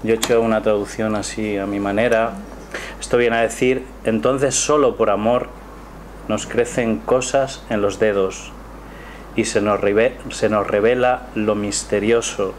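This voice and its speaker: A man giving a measured, reflective presentation of his book before an audience in a small cultural café. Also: lowish voice, steady tone. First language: not Catalan. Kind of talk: prepared presentation speech with poetry reading. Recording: noisy room ambience.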